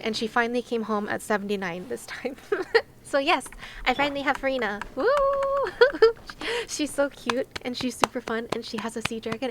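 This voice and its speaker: A woman's voice: on the high side, a bit bright, a little hiss or hum. A woman livestreaming a game, chatting casually in a playful, teasing way with exclamations of wonder. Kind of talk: casual livestream chat over gameplay. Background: game music.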